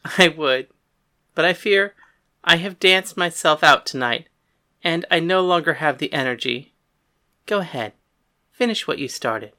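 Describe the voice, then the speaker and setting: A woman's voice: low-pitched, expressive, a little slow, pauses often, bright, forceful tone, exaggerated voice. A woman voicing a bold, cocky woman fighter who taunts and threatens with dry wit. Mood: gracious. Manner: mocking, coolly threatening.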